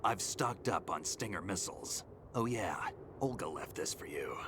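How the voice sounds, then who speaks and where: A battle-worn soldier with a very deep, gravelly voice that stays close to a whisper, terse and unimpressed by anything. The game audio carries a clear hum of ambient noise beneath him. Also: male voice, animated, moderate pace. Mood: steady. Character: gruff veteran male soldier, terse and stoic. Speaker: game character. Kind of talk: video game cutscene voice acting.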